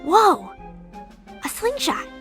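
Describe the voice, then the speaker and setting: A woman's voice: very high-pitched, huge pitch swings, drawn-out words, over music, exaggerated voice. A woman playing a bored, restless young girl in a video game, sulky and curious, with a whiny, impatient way of talking. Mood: curious, perkier. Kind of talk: video game cutscene voice acting.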